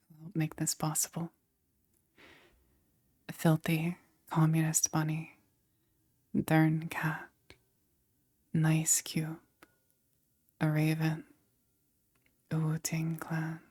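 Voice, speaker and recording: A woman ASMR performer speaking to her listeners in a soft, breathy whisper. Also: low voice.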